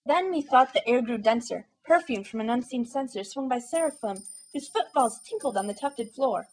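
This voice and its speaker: Higher-voiced woman reading poetry dramatically. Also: lively intonation, moderate pace. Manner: theatrical, intense, shifting between hushed and impassioned. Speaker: amateur poetry reader.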